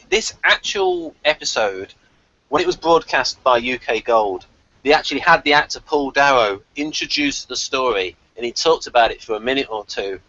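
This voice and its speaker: Male review-show host, forceful bright voice, chatty and emphatic, casual discussion over lo-fi call audio. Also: mid-range voice.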